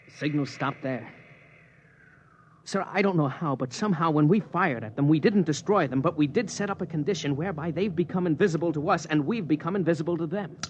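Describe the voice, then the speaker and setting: A man's voice: on the high side, a little fast, dull audio, natural voice. A man playing an earnest, deferential junior starship officer in 1950s radio acting style. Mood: puzzled, deferential. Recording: faintly noisy 1950s radio recording.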